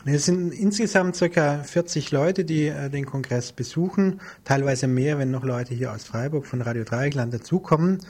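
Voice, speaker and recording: A man's voice: fairly high pitch, moderate pace, hardly any pauses, darkish tone, breathy edge. A man giving unscripted, orderly explanations as an interview guest in a radio studio.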